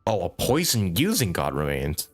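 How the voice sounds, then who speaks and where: A man's voice: low voice, wildly swooping pitch, moderate pace, faint music behind. A man giving casual live gameplay commentary as a streamer, informally reacting aloud to the story. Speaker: game streamer.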